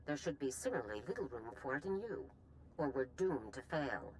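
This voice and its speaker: A stern middle-aged general from a video game. She is clipped and commanding, with strongly varied pitch. A faint rumble of noise sits behind her. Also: big pitch swings, brisk, darkish tone. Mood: stern rebuke. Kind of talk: scripted video game acting.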